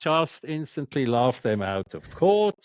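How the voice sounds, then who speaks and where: A man with a Swiss accent, a doctor speaking as a podcast guest, halting and repeating words but emphatic. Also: mid-range voice, very animated, clean tone, dry recording. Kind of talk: podcast interview.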